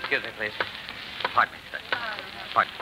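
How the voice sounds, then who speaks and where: An anxious, evasive man with a secret played by a male actor in a vintage radio crime drama. His voice sits a little low, under heavy hiss and noise of an old recording. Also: expressive, brisk, muffled recording, close to an everyday voice. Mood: nervous.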